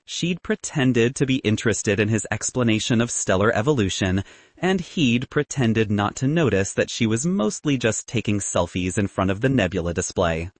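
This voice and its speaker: A man reads comic fiction in a lively, swinging intonation. His narration is wry and scripted, recorded very dry and close, audiobook style. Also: on the low side, moderate pace, dry recording.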